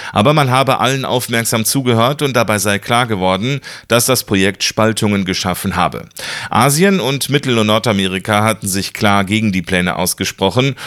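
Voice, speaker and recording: Low-voiced male radio news reporter. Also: fairly bright tone.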